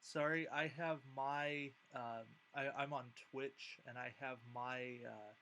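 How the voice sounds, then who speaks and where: A man's voice: medium pitch, steady tone, slow, fairly bright tone. A man hosting a tabletop miniatures game stream, talking casually and thinking aloud with hesitations as he goes. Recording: clear background noise.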